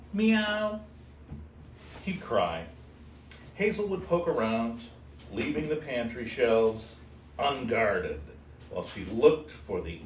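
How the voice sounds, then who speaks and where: A man reading a children's storybook aloud expressively, in a playful manner with casual asides. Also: mid-range voice. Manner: unhurried, animated, playful. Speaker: host reading a picture book aloud to shelter kittens. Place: indoor room at an animal shelter. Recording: roomy, distant mic with faint noise.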